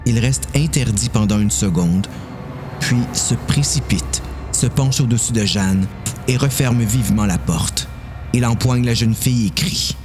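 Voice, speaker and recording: A man's voice: mid-range voice, measured pace, over music. A man reading a dramatic story as narrator.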